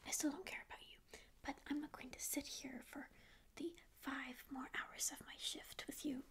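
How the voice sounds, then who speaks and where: A woman's voice: high voice, level tone, normal speed. A woman voicing a grouchy, ancient sleep paralysis demon who secretly cares, speaking in a sarcastic, mock-rude ASMR roleplay whisper close to the mic in a small dim room. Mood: grumpy whisper. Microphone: close ASMR microphone.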